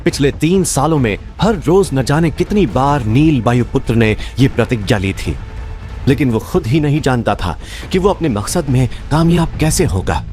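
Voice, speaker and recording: Lively male story narrator over music. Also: mid-range voice, moderate pace. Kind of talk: dramatic scripted storytelling narration. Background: background music.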